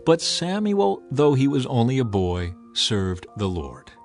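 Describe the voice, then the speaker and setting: A man reads scripture aloud in a measured, solemn narration. His voice sits at a middling pitch for a man, and soft music plays under the reading. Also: very animated.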